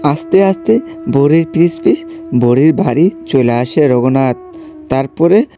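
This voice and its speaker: A man's voice: mid-range voice, animated, unhurried, mellow. A man narrating a scripted children's story. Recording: dull audio, faint music.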